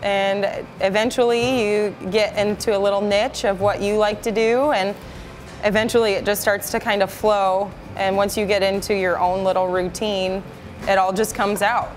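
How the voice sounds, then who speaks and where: A woman's voice: medium pitch, level tone, normal speed. A woman in her thirties, a cookie decorator, answering interview questions in a friendly, modest way. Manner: friendly, laughing often, modest. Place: small cafe, indoors. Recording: cafe sound with music behind.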